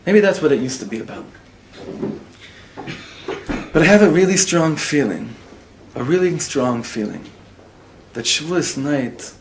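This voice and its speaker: A rabbi teaching a casual class to live students, animated and informal, dropping Hebrew and Yiddish words into his talk. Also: medium pitch, background noise. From Israel. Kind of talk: casual spoken Torah class.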